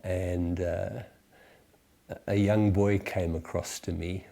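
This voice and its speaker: A low, mellow male voice in his sixties with a South African accent. He teaches reflectively, choosing words deliberately and leaving hesitant pauses as he goes. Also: little pitch movement, vocal fry. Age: 60s. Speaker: church teacher leading a series. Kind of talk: reflective spoken teaching for small groups.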